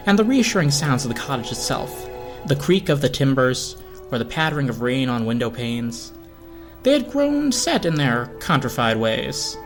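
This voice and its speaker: A man narrates a storybook in a dramatic reading, his pitch moving freely and expressively. Film score music plays softly behind him.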